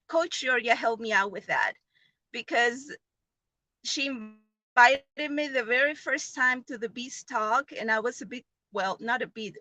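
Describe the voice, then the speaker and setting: A woman with a non-native English accent gives an informal personal testimonial in an earnest, halting way, often repeating phrases. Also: a little high, sharp tone, dry recording, low-quality recording. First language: not English. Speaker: online business member giving a testimonial.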